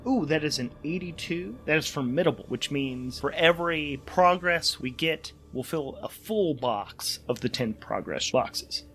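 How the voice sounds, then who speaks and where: A man with a slightly high voice plays through a solo tabletop game out loud. He talks informally, revising his phrasing as he narrates. There is noticeable background noise and ambience around him. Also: animated, unhurried, hardly any pauses. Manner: informal, self-correcting. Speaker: solo game player and podcast host.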